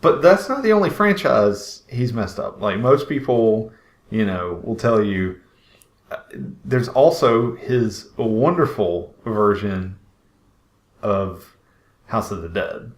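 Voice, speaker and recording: A man's voice: on the low side, animated, takes it slowly. A man co-hosting a podcast, chatting casually and in a rambling way about films. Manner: rambling, uses 'like' often. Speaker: podcast co-host. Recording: roomy, echoing voice pickup.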